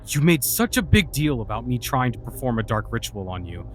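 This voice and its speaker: A man's voice: medium pitch, very animated, a little fast, natural voice. An actor playing a scornful, exasperated male warlock in a fantasy audio drama, scolding and sarcastic. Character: irritable, scornful male dark magician and warlock. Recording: music behind the voice. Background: music.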